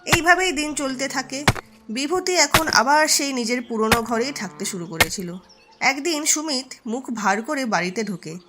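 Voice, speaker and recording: A woman's voice: high-pitched, lively intonation, moderate pace, heavy background noise. A woman giving scripted storytelling narration as the narrator of a moral tale.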